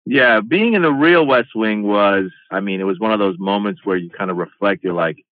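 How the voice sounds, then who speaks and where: A middle-aged man from Iran, a stand-up comedian, tells stories in a casual interview with an animated delivery. His voice comes over a tinny, phone-like line. The sound is dry, with no room in it. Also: on the low side, normal speed, dry recording. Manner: animated, acts out quoted exchanges.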